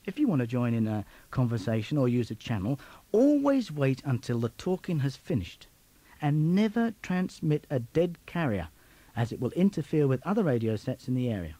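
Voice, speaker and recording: Animated male instructional narrator, dry studio sound. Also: mid-range voice, normal speed, no echo.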